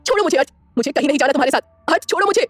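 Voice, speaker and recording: A woman's voice: sits high, a little slow, a little mellow, no room sound, voice pushed up. A woman playing a tearful, distraught young woman. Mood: tearful, pleading. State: crying.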